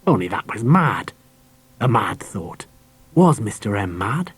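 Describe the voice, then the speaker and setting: A man narrates a children's audiobook in a husky voice. The sound is dry, with very little room on it, and the delivery is that of scripted storytelling. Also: on the low side, sing-song, a little slow, no room sound. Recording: dry cassette audiobook transfer.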